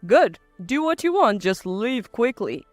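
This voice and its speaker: A woman's voice: a little high, very animated, measured pace, no room sound, soft background music, voice pushed down. A woman voicing an arrogant, scornful husband in a dramatized story, with blunt delivery. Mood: mocking. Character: arrogant, scornful adult husband, quick to bluster.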